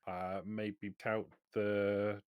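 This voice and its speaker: Low-voiced man at a tabletop game. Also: level tone, drawn-out words, smooth, dry recording, narrow, tinny audio. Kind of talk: casual roleplay table chat.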